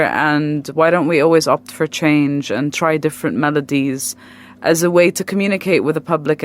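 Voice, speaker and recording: A woman speaking as an artist guest in a casual radio interview, explaining things in an articulate, conversational way. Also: deep voice. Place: radio studio.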